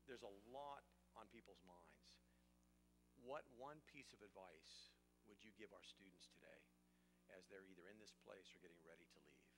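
A man's voice: deep voice, moderate pace. A man hosting a casual panel discussion on a chapel stage, putting questions to the guests. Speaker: host, panel moderator. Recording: noisy live stage, audible hum.

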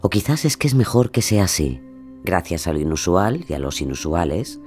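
An actor playing a wry, knowing narrator in a scripted audio drama, measured and sardonic. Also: male voice, low-pitched, very animated, a little mellow, faint music behind. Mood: reflective. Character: wry, knowing male narrator addressing the heroine.

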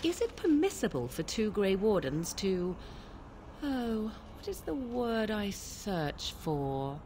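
An actress playing a wise, prim older female mage in a fantasy video game, speaking in a measured, formal, probing way. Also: mid-range voice, big pitch swings, dark tone, a little hiss or hum. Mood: prim disapproval. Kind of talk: video game dialogue acting.

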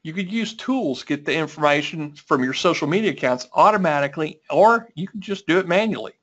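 A man's voice: a little high, lively intonation, fairly quick, fairly bright tone. A man hosting a webinar, upbeat and often restarting his sentences. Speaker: host. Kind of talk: webinar presentation. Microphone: video call. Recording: dull lo-fi call audio.

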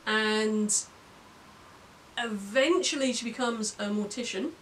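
A woman's voice: a little high, takes it slowly, fairly bright tone, slight background noise, rough recording. A middle-aged woman chatting casually as a guest reviewer, with brief, understated remarks. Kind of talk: casual chat. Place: indoor room with bookshelves.

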